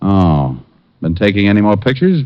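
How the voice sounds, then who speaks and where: Dry, wisecracking private eye. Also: male voice, on the low side, very animated, moderate pace. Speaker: narrator and lead. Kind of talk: 1950s radio detective acting and narration. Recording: dull lo-fi old radio broadcast with faint music.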